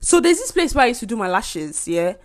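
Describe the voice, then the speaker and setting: A young woman in her twenties with a Nigerian accent, hosting a solo podcast and venting in a casual, animated way about rude remarks and being overcharged. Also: a little high, brisk. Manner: animated, indignant storytelling with mocking quoted voices. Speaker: podcast host.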